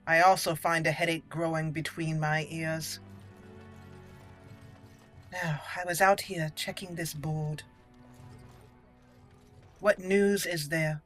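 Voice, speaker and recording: A woman's voice: lowish voice, bright, forceful tone. A woman hosting a roleplay game playthrough, mixing commentary with theatrical, haughty in-character lines. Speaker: gaming host.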